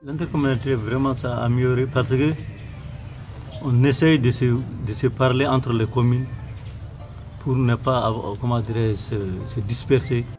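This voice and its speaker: A man's voice: medium pitch, fairly even intonation, normal speed, a little mellow. Plain-spoken man, music behind. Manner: plain, searching for words. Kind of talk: documentary interview.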